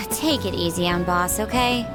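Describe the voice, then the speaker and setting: A woman's voice: medium pitch, expressive, unhurried, natural voice. An actress playing a composed, level-headed young woman in a video game cutscene, speaking firmly. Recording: music behind the voice.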